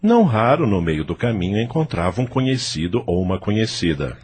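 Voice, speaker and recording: A man's voice: lowish voice, very animated, brisk, darkish tone, a little hiss or hum. A man with a Brazilian accent reading scripted literary narration for an audio novel. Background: music.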